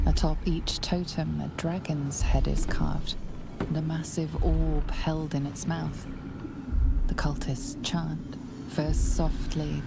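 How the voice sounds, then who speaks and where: An actress playing a mysterious, ominous fantasy game narrator, speaking in a hushed, deliberate storytelling manner. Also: female voice, low-pitched, creaky, exaggerated voice. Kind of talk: scripted second-person game narration.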